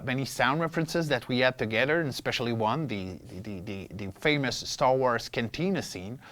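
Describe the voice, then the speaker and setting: A man explaining his creature-voice craft in a making-of interview, haltingly, repeating words as he searches for the next. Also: medium pitch, fairly bright tone. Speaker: vocal performer and creature-voice specialist.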